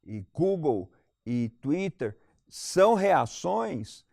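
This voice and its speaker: A man's voice: fairly high pitch, very animated, very slow, gravelly, dark tone, no echo. Brazilian man, dry close sound, analytical. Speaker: debate panel guest. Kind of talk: analytical panel debate commentary.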